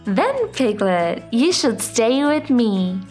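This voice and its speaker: Woman voicing gentle male storybook bear, timid, mild, childlike, slightly raised pitch, soft music behind. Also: big pitch swings, slow pace, heavily acted. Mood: happy.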